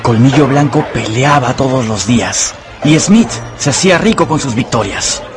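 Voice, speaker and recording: A man's voice: mid-range voice, lively intonation, normal speed, music in the background, lightly acted. A man narrating a grim adventure story as a dramatic, scripted radio play. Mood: grave.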